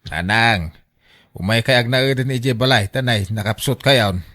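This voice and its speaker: A man's voice: mid-range voice, normal speed, sharp tone, close to an everyday voice. A man acting a scheming grown son in a family radio drama, coaxing and insistent in his delivery. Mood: persuading.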